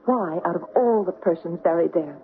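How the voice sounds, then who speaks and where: A woman's voice: lowish voice, normal speed, natural voice. An actress playing an earnest, insistent young woman in a radio drama. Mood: anguished, insistent. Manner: intense, insistent. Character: earnest, stubborn young woman haunted by her mother. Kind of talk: 1970s radio drama acting. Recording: muffled, hissy old radio recording.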